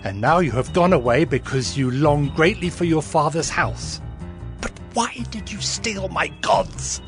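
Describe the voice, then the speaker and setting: An aggrieved older patriarch in a Bible audio drama, speaking slowly and accusingly. His pitch swings widely with drama, over faint music. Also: lively intonation. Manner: dramatic, drawn-out, accusing delivery.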